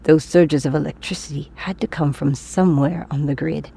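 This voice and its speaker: A woman's voice: deep voice, fairly even intonation, moderate pace, vocal fry, mellow, slightly rough, exaggerated voice. A woman voicing an older, eccentric former science teacher in a comic dub, doting and teasing. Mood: knowing.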